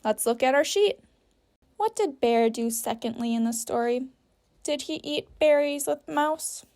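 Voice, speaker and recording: A woman's voice: sits high, darkish tone. A woman teacher guiding young children through a story activity step by step, patiently, with simple sentences and guiding questions. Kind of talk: instructional read-aloud for young children, step-by-step.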